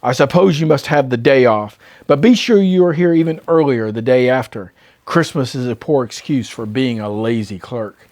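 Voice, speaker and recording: A man's voice: medium pitch, normal speed, darkish tone, close to an everyday voice. Man acting a grumpy, scornful old miser. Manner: croaking, barking, dismissive.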